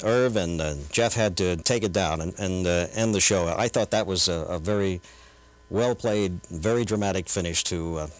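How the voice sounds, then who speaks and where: A man's voice: low voice, normal speed. A middle-aged newsman reminiscing conversationally in an interview. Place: small radio studio.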